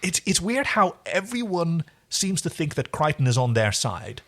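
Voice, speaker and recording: A man's voice: a little high, sing-song, moderate pace, a bit bright. A man co-hosting a podcast, casually recapping an episode. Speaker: podcast co-host.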